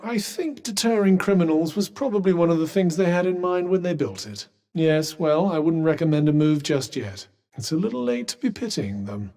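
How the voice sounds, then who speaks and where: A man's voice: fairly high pitch, animated, moderate pace, warm tone. A man with a British accent voicing a haughty, erudite magical cuff in a fantasy video game, with polished diction and dry sarcasm. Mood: wry, composed. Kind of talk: scripted game companion dialogue.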